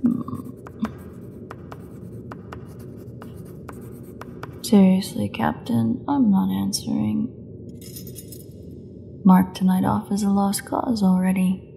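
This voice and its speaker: A woman playing a defiant captive vampire in an audio drama, snarky, mocking and bitterly flippant, with a gravelly voice. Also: mid-range voice, flat delivery, unhurried, pauses often, warm tone, a little hiss or hum. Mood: bored taunting. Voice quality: gravelly, creaky voice. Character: defiant, sarcastic captive female vampire under interrogation. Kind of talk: dramatic audio roleplay monologue.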